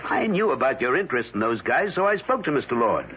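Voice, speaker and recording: An actor playing a weary, cautious older male newspaper editor in a radio drama, gruff and dismissive. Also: mid-range voice, big pitch swings, quick pace, natural voice. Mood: cautioning. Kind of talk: 1970s radio drama acting. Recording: tinny, hissy old radio recording.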